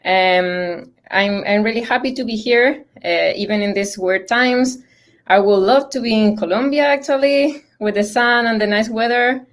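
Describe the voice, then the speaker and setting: A woman's voice: a little high, moderate pace. Chilean woman giving an online lecture. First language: Spanish. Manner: friendly, frequent fillers. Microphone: video call.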